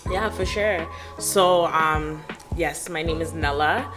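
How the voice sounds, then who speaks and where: A woman's voice: deep voice, slow, music in the background. A woman in her twenties, a podcast guest talking casually and animatedly, with frequent "like" and laughter. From Canada. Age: 20s. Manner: chatty and animated, lots of "like" and laughs. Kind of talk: casual interview chat.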